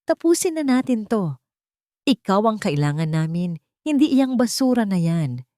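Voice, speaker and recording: A woman's voice: mid-range voice, big pitch swings, moderate pace, mellow, dry recording, clean studio sound, pitched above the performer's own voice, natural voice. A woman voicing a menacing, mocking male hired thug in a drama story, taunting and threatening.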